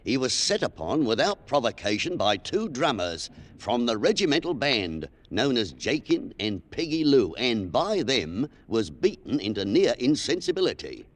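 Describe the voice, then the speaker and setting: An actor playing a dutiful British army sergeant, formally reading out charges in an old radio drama. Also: male voice, medium pitch, sing-song, moderate pace. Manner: formal, official, clipped. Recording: reverberant vintage 1940s radio recording.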